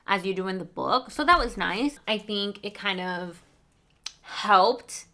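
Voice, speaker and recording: Bright-voiced chatty woman. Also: medium pitch, expressive, a little slow. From Mexico. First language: Spanish. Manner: chatty, rambling, lots of fillers and asides. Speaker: book vlogger. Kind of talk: casual book review chat.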